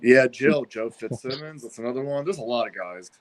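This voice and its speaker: A man's voice: medium pitch, lively intonation, a little fast, crowd noise. A middle-aged man in casual chat who agrees often in short bursts and swears freely.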